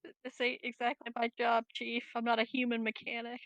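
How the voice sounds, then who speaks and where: A woman's voice: a little high, monotone, fairly quick, a bit bright, no room sound. A woman playing a tabletop game, chatting casually with the group. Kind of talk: casual chat.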